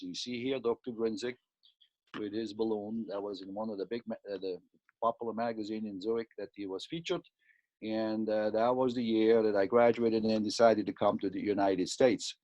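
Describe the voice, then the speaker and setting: A man in his sixties from Switzerland with a Swiss accent, a cardiologist giving a halting talk over slides with many fillers and restarts. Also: lowish voice, dark tone, dry recording. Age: 60s. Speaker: cardiologist guest. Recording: dry, muffled lo-fi sound.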